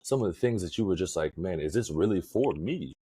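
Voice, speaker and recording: Very deep husky guy, chill interviewer asking long loose questions. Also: expressive, brisk, dark tone, no room sound. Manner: laid-back, loose questions with fillers. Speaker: podcast host, interviewer.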